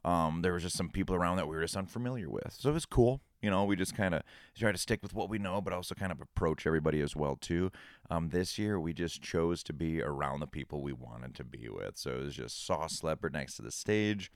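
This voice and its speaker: A man's voice: deep voice, brisk, dry recording, clean studio sound. A man in his thirties co-hosting a podcast, talking in a laid-back, rambling way. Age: 30s. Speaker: podcast co-host. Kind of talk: casual chat.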